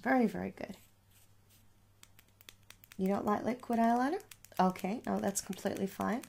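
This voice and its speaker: Creaky-voiced young woman, ASMR shop roleplay. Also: medium pitch, normal speed, a little mellow. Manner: reassuring, repetitive, conversational. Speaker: shopkeeper in roleplay. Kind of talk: ASMR makeup store roleplay, soft casual sales chat. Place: small room at home.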